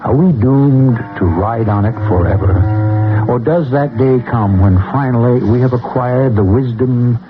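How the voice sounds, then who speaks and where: A male radio host narrates a mystery play theatrically, at a slow, measured pace. The old broadcast sounds dull, with music under his voice. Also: mid-range voice.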